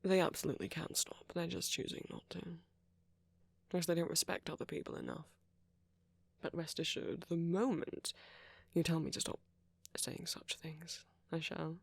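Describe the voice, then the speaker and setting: A man playing a friendly, flirty vampire in an intimate ASMR roleplay, softly spoken, polite and apologetic as he rambles. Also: on the high side, lively intonation, moderate pace, vocal fry, dry recording. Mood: indignant, earnest, then playful, reassuring. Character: friendly, flirty, gentlemanly male vampire, chatty and considerate.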